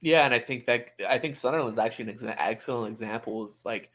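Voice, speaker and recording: A man's voice: mid-range voice, quick pace, a bit bright. A man co-hosting a sports podcast in casual, opinionated conversation, often restarting his phrases. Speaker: soccer podcast co-host.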